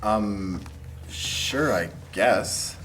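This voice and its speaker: A man's voice: lowish voice, very slow, a bit bright. Man playing awkward lovestruck college guy, faint noise. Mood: hesitant. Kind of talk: amateur table read of drama script. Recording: faint noise, amateur room recording.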